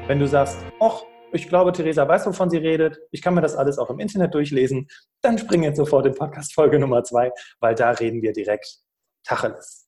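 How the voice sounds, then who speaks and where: A man's voice: mid-range voice, darkish tone. A male podcast host who interviews a guest in a friendly way, with fillers and restarts.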